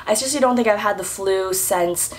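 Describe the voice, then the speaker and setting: A young woman in her twenties talks straight to the camera in a chatty, upbeat explainer vlog. Her voice sounds a little rough and off from a recent flu. She is recorded indoors on a camera at chest height. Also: medium pitch, a little fast. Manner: chatty, upbeat, lively hand gestures. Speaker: vlogger. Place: indoor room with french doors.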